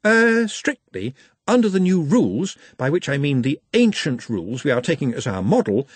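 A man's voice: fairly high pitch, very animated, normal speed, husky, no echo, voice pushed up. A man voicing a pedantic, careful academic wizard in a fantasy audiobook.

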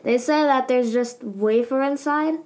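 A young woman co-hosting a casual chat, joking and quick with comebacks. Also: high-pitched, a little mellow. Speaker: podcast co-host.

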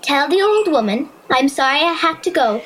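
A woman playing a curious, excitable young Irish girl, acting and narrating her adventure in a wide-eyed, expressive way for an audio drama. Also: high-pitched, normal speed, slight background noise. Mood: alarmed, urgent. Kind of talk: audio drama acting and first-person storytelling. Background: music.

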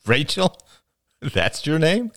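A man's voice: fairly high pitch, sing-song, vocal fry, fairly bright tone, no room sound, studio quality, voice pushed up, full character voice. Man playing a grave, intense male speaker. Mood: alarmed, accusing. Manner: solemn, deliberate warnings, barking when roused.

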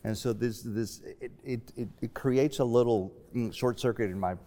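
A middle-aged man from Latin America talking in a panel discussion, haltingly thinking aloud with repeated false starts. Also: medium pitch, warm tone. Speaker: panel guest. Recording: long hall-like reverb.

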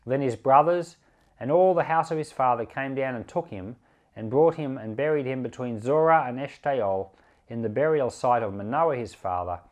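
A man reading scripture aloud for a podcast in a measured, deliberate, expressive storytelling manner. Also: mid-range voice. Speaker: pastor and Bible reader.

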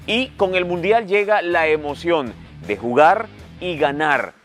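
A man's voice: on the high side, expressive, measured pace, fairly bright tone, faint music behind. A Salvadoran man hosting a sports podcast with casual, teasing banter and sponsor reads. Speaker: podcast host.

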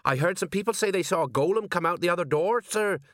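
A man's voice: high-pitched, fast, fairly bright tone, no echo, studio quality, pitched above the performer's own voice. A man voicing an eager, deferential police sergeant in an audiobook, obliging and chatty. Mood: anxious, reporting.

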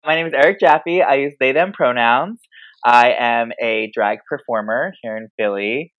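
Laid-back guest on a dull call line. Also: male voice, mid-range voice, moderate pace, no room sound. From Philadelphia area. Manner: laid-back, lots of "like", laughs easily. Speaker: podcast guest, drag performer. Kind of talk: casual interview answers.

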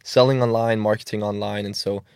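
A man's voice: lowish voice, fairly even intonation, gravelly, a little mellow, dry recording, studio quality. A man of twenty-one from Denmark, talking earnestly as a podcast guest and often repeating words as he goes. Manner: earnest, quick, with frequent word repeats. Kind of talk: casual interview talk.

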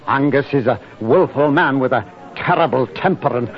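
A man plays an anxious, polite gentleman in an old radio mystery. His mid-pitched voice is hesitant and stammering, guarded in what it says. The recording is a dull vintage broadcast. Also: moderate pace, close to an everyday voice. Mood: anxious, cautioning. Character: nervous, evasive, polite gentleman, a fearful cousin. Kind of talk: 1940s radio drama acting.

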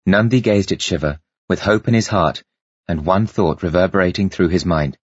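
Deep, husky male audiobook narrator. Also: moderate pace, no room sound, muffled recording. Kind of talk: dramatic scripted narration.

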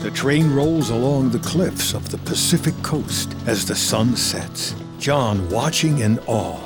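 A man's voice: medium pitch, a little slow, loud music behind the voice. A man narrating a film script in a scripted storytelling style.

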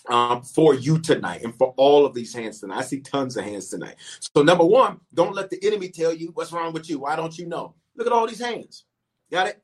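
A middle-aged male pastor and bishop preaching a livestreamed sermon, swinging from quiet asides to sudden emphatic peaks, repeating phrases and calling out to online viewers. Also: fairly high pitch. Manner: talks directly to online viewers, calling for waves and shout-outs.

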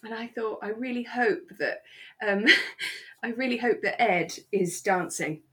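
A British woman co-hosting a relaxed fan podcast, chatting in an effusive, sentimental way. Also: fairly high pitch, a little slow. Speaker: podcast co-host. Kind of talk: relaxed fan chat about a radio drama.

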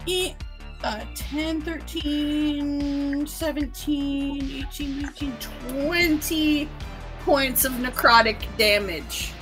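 A woman's voice: high voice, takes it slowly. A woman chatting casually as a player at a game table, playful and sweary, with synth music faintly behind her.

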